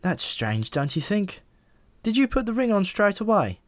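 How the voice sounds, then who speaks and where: A man's voice: high voice, very animated, normal speed, dry recording, pitched above the performer's own voice. A man voicing a talking pigeon in an audiobook, a knowing and easily exasperated explainer with a wry, emphatic manner. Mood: explaining, wry.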